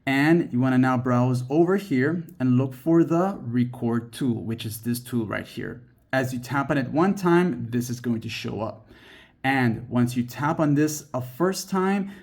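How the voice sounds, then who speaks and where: A man hosts a how-to tutorial for a mobile app. He speaks straight to the viewer in an instructional way, at a medium pitch, guiding them through each step. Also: a little mellow. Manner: instructional, addresses viewer directly. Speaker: tutorial host.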